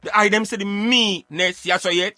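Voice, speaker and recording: A man's voice: sits high, steady tone, normal speed, pushed and bright, no echo, soft background music. A man speaking Jamaican Patois in an emphatic, argumentative, preaching way, as in opinionated talk show commentary. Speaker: radio show host.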